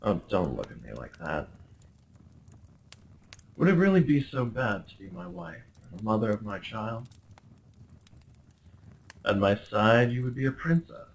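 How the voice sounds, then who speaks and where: Male actor as possessive yandere prince, gravelly creak, sweeping intonation, courtly ASMR roleplay, menacing tenderness. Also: lowish voice, sing-song, slight background noise, low-quality recording. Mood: possessive, coaxing. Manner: courtly, theatrical, deliberate phrasing with menacing undertone. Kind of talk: dramatic ASMR roleplay monologue addressed to the listener.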